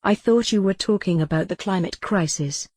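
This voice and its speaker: A synthetic female text-to-speech voice playing a calm, wry retro computer who thinks she's a cat, deadpan in an audio comedy drama. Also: mid-range voice, moderate pace, dry recording, lightly acted.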